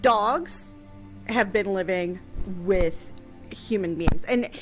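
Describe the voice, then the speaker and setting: A woman talking as a historian on a casual show, explaining things in a hesitant, halting way. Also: mid-range voice, over music. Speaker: historian guest answering questions on a radio show.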